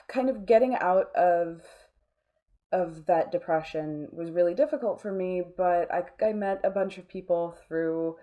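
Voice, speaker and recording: Mellow, lower-pitched woman in casual interview. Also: unhurried, dull audio. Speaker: interview guest, audio drama producer.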